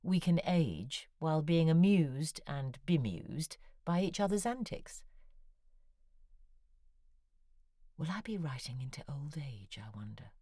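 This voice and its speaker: An actress playing a middle-aged romance novelist in an audio drama, speaking in a quiet, confiding way that sometimes drops to a whisper. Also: female voice, deep voice, very animated, normal speed, hardly any pauses, dry recording. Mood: pleading. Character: introspective middle-aged woman romance novelist, wry and lonely. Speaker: confessional monologue. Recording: dry close studio with faint music.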